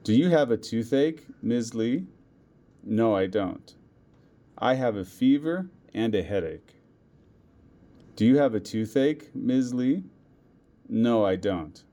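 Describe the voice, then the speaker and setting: A man reading out a school listening test, saying each word and line slowly and deliberately, with every item given twice. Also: medium pitch, animated, mellow. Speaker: test reader.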